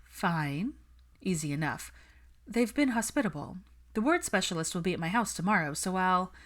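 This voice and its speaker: A woman voicing a serious young witch healer, guarded and formal as she answers in measured phrases, in a story reading. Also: lowish voice, expressive, a bit bright.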